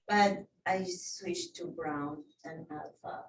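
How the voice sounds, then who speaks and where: Slow-talking woman, distant echoey room. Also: low-pitched, background noise. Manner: enthusiastic, searching for words. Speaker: team member. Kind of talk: casual testimonial.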